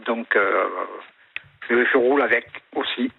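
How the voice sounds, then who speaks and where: A man with a Marseille accent calling a radio show. He talks in a friendly, hesitant way, and the phone line makes him thin and slightly husky. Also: mid-range voice, measured pace. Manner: chatty, hesitant. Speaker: caller.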